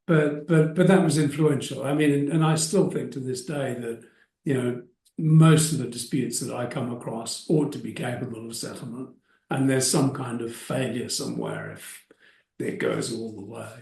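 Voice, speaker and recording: A middle-aged man with an Australian accent answers interview questions slowly and thoughtfully. His voice is gravelly and mellow, and he often restarts his phrases. The sound is roomy and picked up from some distance away. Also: mid-range voice. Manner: hesitant, thoughtful, with frequent restarts. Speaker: expert witness, interview guest. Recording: echoey room, mic at a distance.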